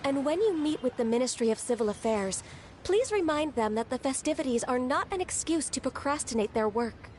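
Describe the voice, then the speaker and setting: A high-voiced young woman official, gentle and diligent. She speaks formally and carefully as a game character, with faint ambience behind. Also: lively intonation, a little hiss or hum. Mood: businesslike. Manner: polite, measured, careful. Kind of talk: video game voice acting.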